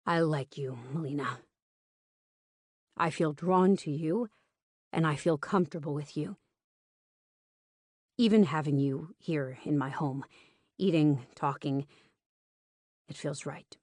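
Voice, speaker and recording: Woman voicing a guarded, low-voiced man confiding haltingly. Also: a little mellow, no echo, clean studio sound. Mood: cautious, tender. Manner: cautious, reluctant, emphasizing words. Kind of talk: audiobook character dialogue.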